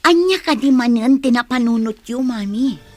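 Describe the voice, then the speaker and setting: A woman's voice: a little high, animated, moderate pace, a little mellow, no echo. An actress playing a scheming young office woman who leans on her mother, in an Ilocano radio drama. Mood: doubtful, peevish.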